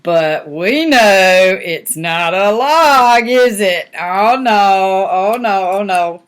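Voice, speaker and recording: Older female storybook reader, very measured pace, medium pitch, folksy asides. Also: lively intonation, smooth. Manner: folksy, asking the listener questions. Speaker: grandmother reading a picture book to grandchildren. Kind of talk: storybook read-aloud with chatty asides.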